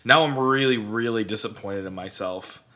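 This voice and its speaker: A wisecracking man co-hosting a sports podcast, full of self-mocking jokes. His low voice has a bright, forceful edge. He keeps jumping in with quick asides. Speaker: podcast co-host. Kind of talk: casual sports podcast banter.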